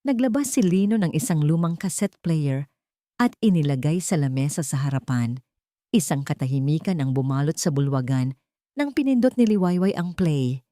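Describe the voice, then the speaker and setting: Low-voiced woman narrating a dramatic story. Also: animated, normal speed, dark tone, no echo, studio quality.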